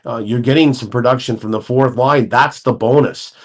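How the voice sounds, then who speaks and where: A man, a guest hockey analyst, talking through the game in a measured, analytical way with frequent self-corrections. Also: mid-range voice. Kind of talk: radio-style hockey talk.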